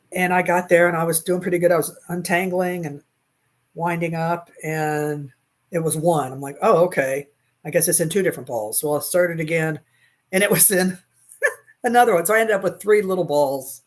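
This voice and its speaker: A talkative woman in her sixties chats easily through a webcam in a small room at home. She laughs often and answers her viewers' comments while she crochets. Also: low voice. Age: 60s. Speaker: crochet livestream host. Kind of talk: casual chat while crocheting. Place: small bedroom at home, indoors. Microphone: webcam at desk.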